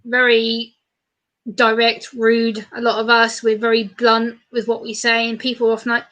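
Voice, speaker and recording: Bright-voiced fast-talking British woman. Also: on the high side, dull audio. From United Kingdom. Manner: fast, animated, chatty. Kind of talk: casual live-stream chat.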